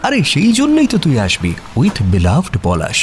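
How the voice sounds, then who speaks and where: Wildly animated teasing young friend. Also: male voice, on the high side, unhurried, a little hiss or hum. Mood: teasing, smug. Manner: teasing, mock-grand. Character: cheeky, self-assured young male friend, teasing playwright. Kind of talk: audio story drama acting.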